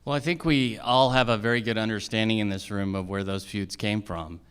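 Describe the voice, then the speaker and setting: Firm, smooth-voiced American male candidate. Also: lowish voice, fairly quick, a bit bright. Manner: firm, rhetorical repetition. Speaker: judicial candidate. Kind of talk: political stump speech.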